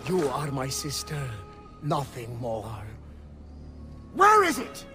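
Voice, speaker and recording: A man's voice: mid-range voice, wildly swooping pitch, slow pace. An actor playing a ruthless, power-hungry Italian nobleman villain in a video game, with a theatrical, imperious delivery. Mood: menacing. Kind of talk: dramatic video game acting. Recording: loud music behind the voice.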